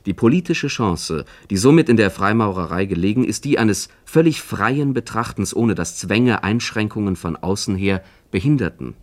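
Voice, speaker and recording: A man narrating a scripted literary essay in a formal, measured manner. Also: lowish voice, expressive. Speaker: narrator, commentator.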